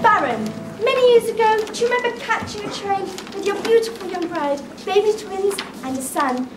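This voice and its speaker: Young woman playing a very high-pitched pantomime fairy. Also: expressive, a little fast, very noisy. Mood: proclaiming, kindly. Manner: declamatory, sing-song rhyming. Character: kindly fairy godmother in a Christmas pantomime. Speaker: pantomime performer. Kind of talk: rhyming theatrical stage delivery. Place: theatre stage. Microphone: far camera. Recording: echoey theatre, distant, loud music and audience.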